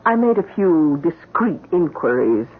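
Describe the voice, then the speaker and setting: An actress playing a scheming middle-aged housekeeper in an old-time radio mystery, with deliberate, insinuating, theatrical phrasing. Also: female voice, low-pitched, big pitch swings, slightly rough, exaggerated voice. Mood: coolly insinuating. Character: scheming middle-aged housekeeper, coolly blackmailing her employer. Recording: muffled old radio recording.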